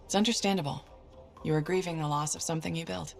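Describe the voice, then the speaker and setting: Wry, low-voiced game hero. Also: female voice, steady tone, quick pace, creaky, faint music behind. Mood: gently reassuring. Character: wry, level-headed female freelance adventurer hero. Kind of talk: naturalistic video game acting.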